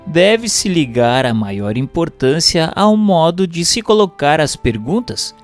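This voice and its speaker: A man reading scripted narration in a formal, didactic way with measured phrasing. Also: a little high, big pitch swings, faint music behind. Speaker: narrator reading a spiritist text.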